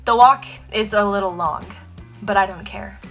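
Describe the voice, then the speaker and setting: Woman reading poetry expressively, mid-pitched. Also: normal speed, soft background music. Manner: dramatic, swinging between emphatic and hushed. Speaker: poetry reader.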